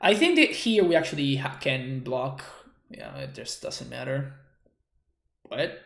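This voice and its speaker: A young Argentine man streams and talks through his plays in a relaxed, chatty way. His English carries a Spanish accent, and he pauses now and then to read and answer chat. The room around him sounds echoey. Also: mid-range voice, gravelly. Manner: relaxed, chatty, reads out and thanks viewers' chat messages. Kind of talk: casual gameplay commentary.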